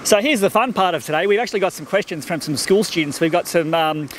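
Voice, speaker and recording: A man's voice: high-pitched, fast talker, slight background noise. A man interviewing a farmer outdoors, cheerfully reading questions from a sheet into a handheld mic. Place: outdoors beside farm ponds.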